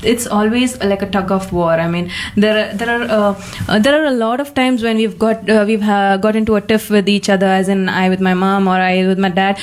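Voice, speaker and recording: A woman of twenty with a mid-pitched voice takes part in a personal discussion. She talks conversationally, pausing and rewording her thoughts as she goes. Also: steady tone.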